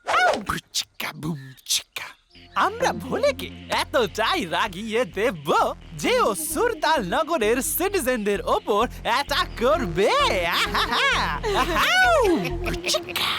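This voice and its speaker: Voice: high voice, wildly swooping pitch, takes it slowly, heavily acted. An actor voicing a showy, scheming cartoon villain, theatrical and boastful, with swooping yelps. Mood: gleefully scheming. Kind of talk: Bengali children's cartoon dubbing. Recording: loud music behind the voice.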